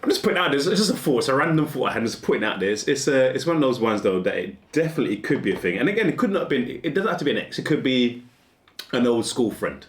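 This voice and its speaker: A man's voice: medium pitch, sing-song, fast. A man in his forties musing casually on a dating question in a rambling, self-amused way, restarting his sentences often. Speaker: vlogger. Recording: echoey room.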